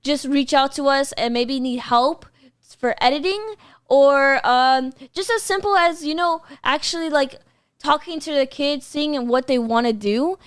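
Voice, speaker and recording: High-voiced playful young woman podcaster. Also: dry recording, studio quality. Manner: playful, boastful joking, animated. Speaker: podcast co-host. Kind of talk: casual family podcast chat. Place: home gaming room. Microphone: studio mic on boom, headset.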